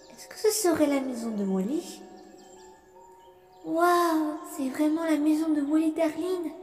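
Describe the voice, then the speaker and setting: A woman plays an earnest, curious puppet boy with a high voice. The delivery is slow and wondering, in a cartoon comic-dub style, with faint music underneath. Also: a little mellow. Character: curious, easily bewildered young boy turned into a puppet. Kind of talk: amateur comic dub acting.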